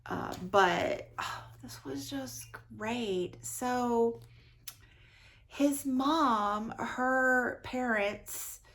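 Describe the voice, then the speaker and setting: A woman's voice: fairly high pitch, very slow, a bit bright. A woman giving a casual, effusive book review as a vlogger, often repeating words for emphasis.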